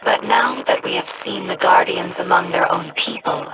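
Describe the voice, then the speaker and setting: An actress voicing a young female alien scavenger in a video game, speaking in a calm, measured, thoughtful way. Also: lowish voice, heavy background noise, natural voice. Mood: earnest. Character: young female four-armed alien scavenger, earnest and reflective.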